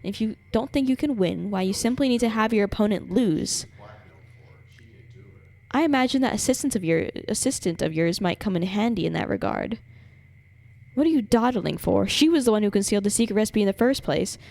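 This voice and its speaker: A woman's voice: mid-range voice, brisk. A woman live-streaming a game, reacting expressively and reading game text aloud dramatically. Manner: expressive, sweary, incredulous reactions. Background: game music.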